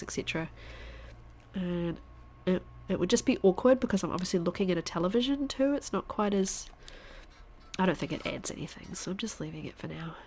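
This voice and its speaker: A woman in her thirties, a stuffy-nosed streamer chatting casually while she plays, thinking aloud and now and then dropping to a quiet whisper. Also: fairly low pitch. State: congested, stuffy nose. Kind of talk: casual live-stream chat while gaming.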